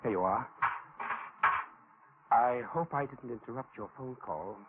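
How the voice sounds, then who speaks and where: An actor playing a brisk, polite but sinister stranger who calls himself a doctor, in clipped 1940s radio style. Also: male voice, mid-range voice, close to an everyday voice. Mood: probing, courteous. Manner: clipped, urgent, courteous. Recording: tinny, noisy 1940s radio recording.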